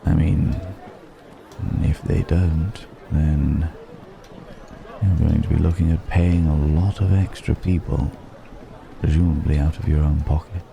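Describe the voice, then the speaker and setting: A man playing a suave, probing dragon posing as a curious stranger, musing and gently mocking in an intimate ASMR roleplay. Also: a bass voice, fairly even intonation, gravelly, background noise, heavily acted. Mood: musing, challenging. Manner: deliberate, musing, gently mocking, long pauses. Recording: background music and crowd murmur.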